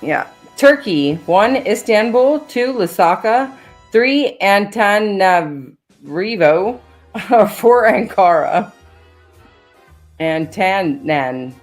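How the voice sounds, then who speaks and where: A woman's voice: mid-range voice, lively intonation, slow, faint music behind. Chatty woman co-hosting trivia. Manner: chatty, reads out quiz options. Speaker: stream co-host. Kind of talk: casual live-stream trivia chat.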